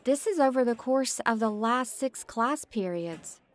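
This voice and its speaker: A woman's voice: on the high side, expressive, a little slow. An American woman playing a blunt, earnest elementary art teacher in an audio drama.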